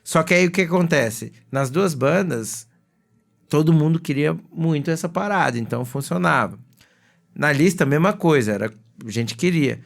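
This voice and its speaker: A Brazilian man hosting a podcast, telling band stories in an animated, slangy way. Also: a little high, normal speed, creaky. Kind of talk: casual chat.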